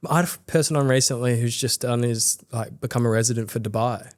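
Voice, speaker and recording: A man hosting a podcast, asking short questions and chiming in with relaxed, casual remarks. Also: medium pitch, fast. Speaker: podcast host. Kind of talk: casual interview chat. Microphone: studio podcast mic.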